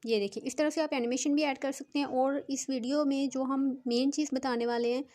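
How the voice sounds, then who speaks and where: Lady doing a phone app how-to, kinda high voice, walks you thru it step by step. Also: fairly even intonation, brisk, heavy background noise. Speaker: tutorial channel host. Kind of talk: step-by-step app tutorial narration.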